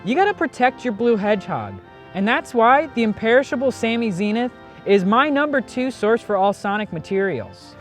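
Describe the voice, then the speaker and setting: A man's voice: very high voice, animated, moderate pace, faint music behind. A man co-hosting in a playful, exaggerated, casual comic style. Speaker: co-host.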